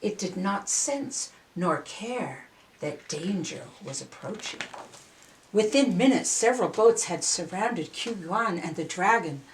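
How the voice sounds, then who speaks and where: An older woman reading a children's story aloud in an expressive, deliberate way with dramatic pauses. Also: lowish voice, low-quality recording.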